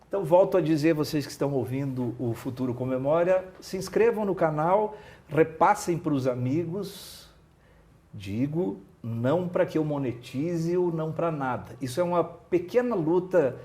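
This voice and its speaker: A man's voice: on the high side, moderate pace, warm tone. Earnest Brazilian man hosting an interview. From southern Brazil. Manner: earnest, rallying listeners to support culture. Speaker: interviewer, show host. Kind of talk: conversational interview.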